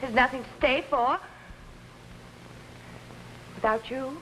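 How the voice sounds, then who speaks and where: An actress playing an ambitious young pianist who is outwardly demure and secretly scheming, in 1950s television drama style. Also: female voice, high-pitched, slow pace, pushed and bright, exaggerated voice. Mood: intense, wistful. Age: young adult. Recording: noisy, aged 1950s television soundtrack.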